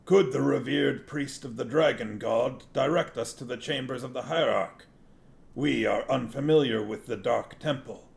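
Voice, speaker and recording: A man's voice: medium pitch, expressive, measured pace, vocal fry, hardly any pauses, voice pushed up. A man voicing an old sorcerer who poses as a humble pilgrim, speaking formally and deferentially with guttural sounds in a storybook reading.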